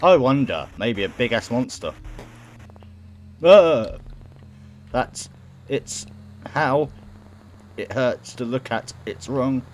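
A man's voice: medium pitch, slow pace, slight background noise, low-quality recording. A young man reading game text aloud and reacting casually, as in a let's play. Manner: stumbles over words while reading. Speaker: gaming video host. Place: small room at home, indoors. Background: game music.

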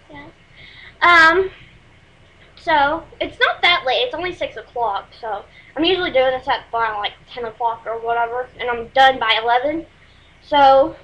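Teen girl, Texas accent, high and bright, rambling and apologetic, recorded at home on a built-in webcam mic. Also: rough recording. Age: teens. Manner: rambling, apologetic, many fillers. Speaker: music vlogger. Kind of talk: casual webcam review. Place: small room at home, indoors.

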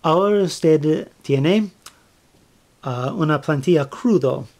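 A man speaking Spanish as a second language, giving a step-by-step craft tutorial, with many fillers, restarts and pauses as he searches for words. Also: fairly high pitch, darkish tone. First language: not Spanish. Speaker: flag-craft tutorial maker. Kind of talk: halting how-to narration.

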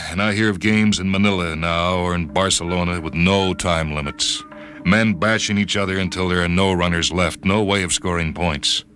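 A man reading a short story for radio as a brooding first-person narrator, with dramatic pauses. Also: low voice. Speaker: story reader. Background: music and sound cues.

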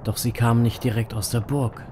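A man playing a brooding reaper who narrates his horror story in a measured, somber way. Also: mid-range voice, steady tone, background noise, natural voice. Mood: somber tension. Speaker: first-person narrator. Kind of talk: scripted dramatic narration, German audio drama.

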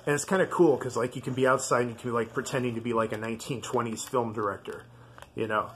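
A man's voice: medium pitch, fairly even intonation, fast talker, a little hiss or hum. Rambling, easygoing man showing gear. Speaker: video host. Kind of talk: casual product demonstration.

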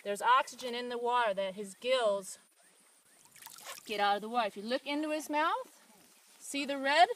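A woman's voice: on the high side, moderate pace, pauses often, fairly bright tone. A middle-aged woman patiently explaining nature to young children outdoors by a farm pond, caught on a home video camera. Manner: patient, encouraging, teacherly. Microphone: handheld home video camera nearby.

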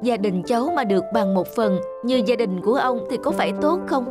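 An actor plays a young married man in a cartoon. He sounds polite, earnest and troubled. Music runs clearly behind his voice. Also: medium pitch, expressive, a little fast, natural voice. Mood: downcast. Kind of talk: cartoon dialogue.

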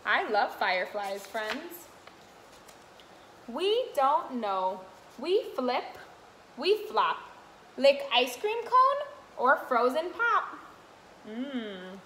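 High, bright young woman teaching toddlers. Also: very animated, extremely slow, pauses often. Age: young adult. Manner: sing-song, addressing small children with simple questions. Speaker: toddler classroom helper. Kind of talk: children's read-aloud and teaching to camera. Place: indoor classroom, sitting on the floor. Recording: roomy echo, faint room noise.

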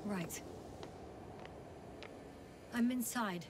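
An actress playing a composed, conscientious woman astronaut and scientist in a game, reporting in a measured, professional way. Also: medium pitch, very noisy. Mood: concerned. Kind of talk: naturalistic game voice acting. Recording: music behind the voice.

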